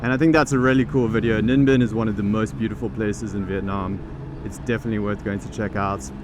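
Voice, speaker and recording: A man's voice: fairly low pitch, fast talker. A man talking casually and thinking aloud as he rides a motorbike through city traffic outdoors. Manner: relaxed, chatty, thinking aloud with restarts. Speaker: vlogger and teaching program founder. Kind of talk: casual talk to camera while riding. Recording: traffic noise behind.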